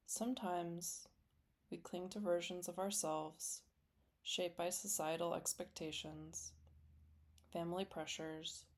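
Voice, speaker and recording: A woman leading a guided meditation in a gentle, soothing, deliberate way, with long pauses between phrases. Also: low voice. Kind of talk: guided meditation, scripted and slow.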